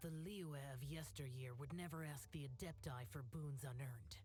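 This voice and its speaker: A man's voice: on the high side, normal speed, darkish tone, lightly acted. A man voicing an ancient but youthful demon slayer in a game, stern and aloof, with formal, solemn diction. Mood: disdainful. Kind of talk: animated game voice acting.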